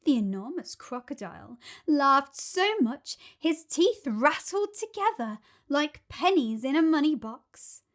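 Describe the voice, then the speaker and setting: High-pitched woman reading a children's story slowly. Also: sing-song. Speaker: storybook reader. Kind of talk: expressive scripted children's story narration.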